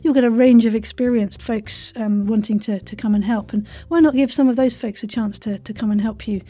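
A woman with a fairly high, soft-toned voice in a dry recording. She hosts a casual interview, chatting encouragingly and circling her way into questions. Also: steady tone, fairly quick, no echo. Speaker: interviewer, podcast host.

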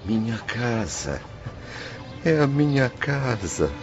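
A proud Brazilian merchant and father, lost and distraught, in a radio drama. His delivery is theatrical and halting, with extremely wide swings in pitch. The sound is slightly dull, with music clearly underneath. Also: very animated. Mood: stunned recognition. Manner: theatrical, anguished, halting between whispers and outcries. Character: distraught proud wine-merchant father, spirit returned home.

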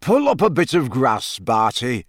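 A man's voice: a little high, very animated, measured pace, dry recording, clean studio sound. A man voicing a jovial, careless, boyishly enthusiastic wizard in an audiobook, with a breezy, chummy manner. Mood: breezy, bright. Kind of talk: audiobook character voice.

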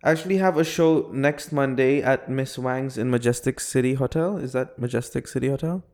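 A man co-hosting a podcast in casual, joking chat, often halting as he searches for his words. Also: medium pitch, darkish tone. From half Filipino. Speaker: podcast co-host, comedian.

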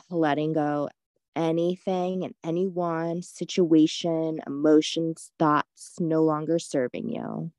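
A woman leading a guided meditation in a soothing, unhurried, gently instructive way. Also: sits low, no echo.

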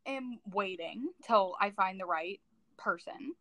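Teenage girl, podcast co-host, earnest casual chat, bright tone, dry close mic. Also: medium pitch, lively intonation, takes it slowly, no echo. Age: teens. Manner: chatty, earnest, self-conscious.

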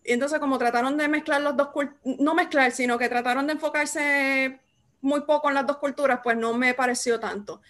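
A young adult woman hosting a casual chat, lively and quick to laugh. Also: high voice. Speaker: host. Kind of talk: casual conversation.

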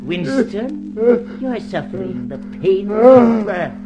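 An actor playing a cold, commanding party inquisitor and torturer in a radio drama, with theatrical, deliberate, menacing delivery. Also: male voice, sits high, dull audio, over music. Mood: coldly insistent. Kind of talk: mid-century radio drama acting.

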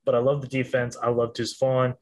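Male panelist, mid-pitched, chatty and self-mocking, loose podcast delivery. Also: little pitch movement, fairly quick. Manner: talkative, self-deprecating, with restarts. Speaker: college football panelist. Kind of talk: casual sports podcast chat.

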